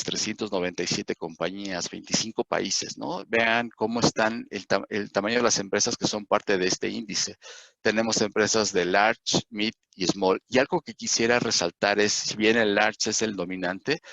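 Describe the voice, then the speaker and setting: A man with a Mexican accent giving a webinar presentation over slides, halting, with many fillers and restarts. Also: on the low side, gravelly, fairly bright tone, no echo. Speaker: webinar presenter on investment indices. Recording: dull, lo-fi sound.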